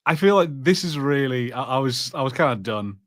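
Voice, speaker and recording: Man, dry close recording, medium pitch, casual review talk, blunt, informal co-host. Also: expressive, a little fast, dry recording. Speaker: podcast co-host.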